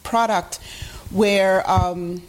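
A woman's voice: medium pitch, fairly even intonation, extremely slow, slight background noise, poor audio. A middle-aged woman from New York, born in Puerto Rico, giving earnest, explanatory answers as an interview guest. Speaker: guest, youth education founder.

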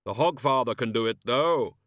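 Guy doing grim reaper type master, slow stern commanding, dry close storybook recording. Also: mid-range voice, animated, fairly bright tone, no echo, close to an everyday voice. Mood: insistent.

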